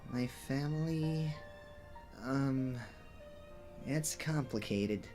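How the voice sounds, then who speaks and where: A man voicing a gentle, lonely young cursed character in an animated fan dub, hesitant and apologetic as he confides. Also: medium pitch, extremely slow. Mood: sad, apologetic. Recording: music playing behind the voice.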